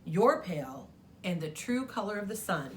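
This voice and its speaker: A woman voicing a whiny, boastful yellow crayon from a children's storybook, indignant and insistent. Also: medium pitch, sing-song, normal speed, slight background noise, close to an everyday voice.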